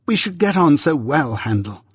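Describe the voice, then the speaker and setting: A man reading aloud, voicing an affectionate young Victorian gentleman who speaks eagerly and cordially to his friend in a raised, bright voice. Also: a little high, very animated, moderate pace, breathy edge, dry recording, studio quality, pitched above the performer's own voice, full character voice.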